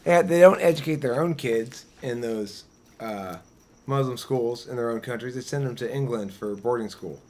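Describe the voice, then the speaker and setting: A man's voice: mid-range voice, normal speed, darkish tone, slight background noise. A man in a casual chat, opinionated and loose, swearing freely as he argues his views. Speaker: debater in conversation.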